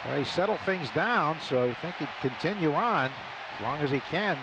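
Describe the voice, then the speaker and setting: Older American male play-by-play voice, fairly high, conversational storyteller, live booth with noisy stadium background. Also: expressive, normal speed, a crowd in the background. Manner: conversational, storytelling between pitches. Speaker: baseball play-by-play announcer. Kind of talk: live radio sports commentary. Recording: live radio booth with loud stadium ambience.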